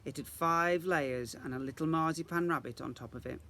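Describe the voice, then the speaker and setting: A man reading a children's story aloud in the expressive style of a teacher reading to young children. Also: fairly high pitch, normal speed, a little hiss or hum.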